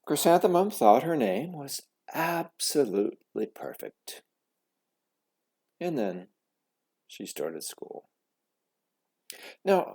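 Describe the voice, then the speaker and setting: Guy reading a kids book, slow and dramatic, gravelly soft husky voice. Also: a little high. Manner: dramatic, deliberate, with whispers and pauses. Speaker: storybook reader. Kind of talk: expressive children's storybook narration.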